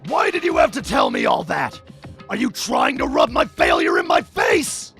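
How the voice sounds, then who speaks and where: A man playing a tough, hot-tempered, guilt-ridden young man in an anime fandub, intense and halting, moving between hushed confession and yelling. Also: very deep voice, wildly swooping pitch, vocal fry, heavily acted. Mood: enraged. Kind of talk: dramatic anime-style fandub acting. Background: faint music behind the voice.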